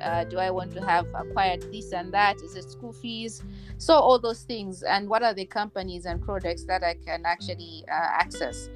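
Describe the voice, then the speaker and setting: Podcast guest: woman, 30s, from Malawi, measured explainer, light guitar music under her voice. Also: on the low side. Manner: measured, explanatory, with frequent fillers. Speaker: guest, finance executive. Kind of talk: podcast interview.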